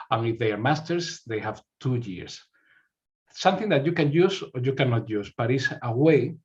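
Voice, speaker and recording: A man's voice: medium pitch, muffled recording. A man from Spain with a Spanish accent giving an informational presentation as a university representative, often repeating words as he searches for phrasing.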